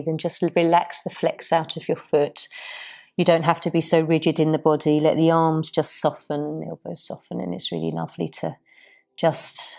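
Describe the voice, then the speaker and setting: Soothing low-voiced woman teaching yoga. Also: vocal fry. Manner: soft, soothing, unhurried guidance. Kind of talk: guided yoga class instruction.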